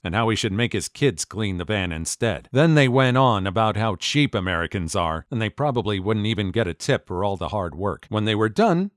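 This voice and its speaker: A man narrating a series of short stories read from online posts, in an even reading voice. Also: on the low side, no room sound, studio quality. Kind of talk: scripted story narration.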